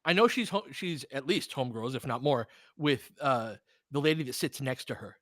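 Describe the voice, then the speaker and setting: Animated man chatting casually over basketball. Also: medium pitch, moderate pace, no room sound, studio quality. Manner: informal, profane, slangy. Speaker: host of a fan watch-along. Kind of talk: casual live basketball commentary, chatting with viewers.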